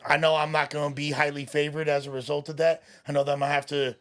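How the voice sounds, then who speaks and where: A laid-back man from West Los Angeles chatting on a podcast, with a slightly high voice. He talks loosely in street slang and keeps asking "you feel me". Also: level tone, fast talker, a bit bright. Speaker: podcast guest. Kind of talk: casual chat.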